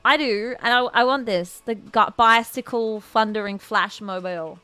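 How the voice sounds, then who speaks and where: A woman's voice: a little high, normal speed, sharp tone, clear, resonant tone, faint music behind. A woman streaming a game playthrough, reacting casually and gushingly, repeating herself and talking back to the characters.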